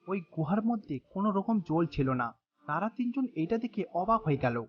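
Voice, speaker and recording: A man's voice: high-pitched, lively intonation, fairly quick, highs cut off. A man narrating a scripted children's bedtime story.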